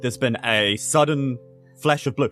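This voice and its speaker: Demanding visitor for a tabletop game, woman performer: low voice, pompous, impatient, faint music. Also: measured pace.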